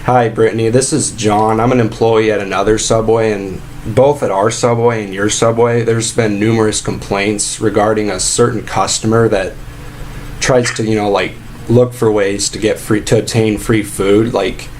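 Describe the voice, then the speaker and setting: A man acting as an aggrieved fast-food worker with a fairly low voice, lodging a casual complaint. He rambles and stalls, repeating himself as he goes, over a noticeably noisy recording of a prank call. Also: level tone, a little mellow, lightly acted. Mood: earnest, aggrieved. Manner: rambling, halting, repetitive. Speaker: prank caller posing as a sandwich shop employee.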